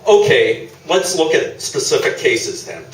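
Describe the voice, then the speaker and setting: Skeptical, needling interviewer played by a male actor, mid-pitched, dry comic delivery, roomy live theatre sound. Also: very animated, measured pace. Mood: challenging. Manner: dry, sardonic, challenging. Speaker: radio play actor. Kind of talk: comic radio play performed live on stage. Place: small theatre, live performance. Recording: echoey theatre, distant mic, noisy.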